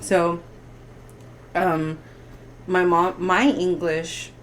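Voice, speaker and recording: A young woman from Bolivia with a light Spanish accent, her first language being Spanish. Her voice sits on the lower side, and she chats casually as a podcast guest, telling stories with lively restarts. A faint hiss of noise lies under the talk. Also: very slow. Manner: animated storytelling, frequent restarts. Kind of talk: casual chat.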